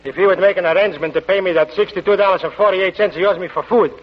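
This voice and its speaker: Casting: exasperated, tight-fisted restaurant boss, high-voiced wisecracker, old radio comedy, muffled sound. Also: male voice, fairly even intonation, racing. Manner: colloquial ungrammatical wisecracking complaints. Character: grumbling, miserly restaurant owner, wisecracking, exasperated. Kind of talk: 1940s radio comedy acting.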